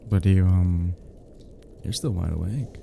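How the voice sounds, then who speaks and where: A man playing a cozy, teasing young male friend who is sweetly smitten, in an intimate ASMR roleplay, murmuring softly and hesitantly with chuckles. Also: low-pitched, a little slow, creaky, a little hiss or hum, heavily acted. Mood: tender teasing.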